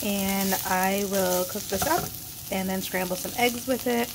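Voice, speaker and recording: A woman vlogging casually as she cooks at home, chatty and relaxed with frequent ums, her voice a little congested. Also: medium pitch, measured pace, noisy background. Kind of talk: casual kitchen vlog narration. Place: home kitchen.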